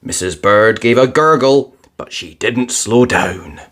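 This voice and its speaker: A storyteller giving an expressive children's read-aloud narration. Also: medium pitch, slow pace, noisy background.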